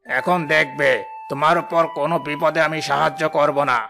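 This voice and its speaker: A greedy village landlord from a moral-tale cartoon, acted by a man. His voice sits a little high for a man, and he speaks insistently, with menace. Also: fairly even intonation, normal speed, vocal fry, music in the background. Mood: threatening. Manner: insistent, threatening. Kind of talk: Bengali fairy-tale cartoon voice acting.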